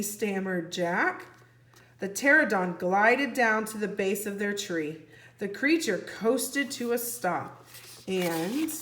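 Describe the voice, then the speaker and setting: A woman's voice: medium pitch, a bit bright, roomy sound. A woman teacher reading a children's storybook aloud expressively, putting questions to young listeners. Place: indoor room, book held on camera.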